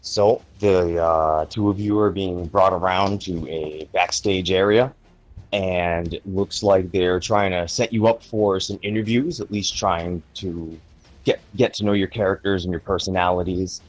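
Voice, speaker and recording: Deep-voiced man running a tabletop game. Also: unhurried. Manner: relaxed, thinking aloud with fillers. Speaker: game master.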